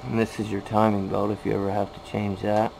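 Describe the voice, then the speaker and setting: A man's voice: fairly low pitch, monotone, brisk, vocal fry. An American man giving a casual, plain-spoken, hands-on walkthrough of a repair in a workshop. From California, USA. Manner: plain-spoken, matter-of-fact. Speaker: machinist demonstrating a repair. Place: machine shop, indoors. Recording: noisy amateur workshop recording.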